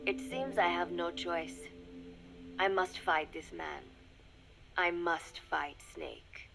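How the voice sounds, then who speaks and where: An actress playing a young secret agent confiding in a recorded diary, speaking in measured, formal phrases that often drop to a murmur, wistful and resigned. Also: female voice, lowish voice, steady tone, gravelly, poor audio. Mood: wistful, resolute. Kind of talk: scripted dramatic monologue, recorded diary.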